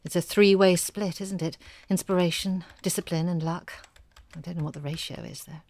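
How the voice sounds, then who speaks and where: A woman's voice: lowish voice, steady tone, moderate pace, a little mellow, lightly acted. An actress voicing a modest, hesitant woman writer in an audio drama, talking in a diffident, hedging way. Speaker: writer character.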